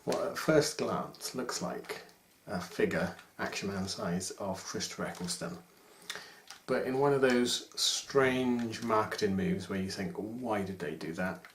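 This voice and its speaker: Man, 40s, low voice, informal hobbyist video presenter, roomy home recording with faint noise. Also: unhurried. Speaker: toy collector presenting a video. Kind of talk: casual chat to camera about collectible figures. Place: small domestic room indoors. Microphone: camera microphone at about arm's length. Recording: echoey room with faint noise.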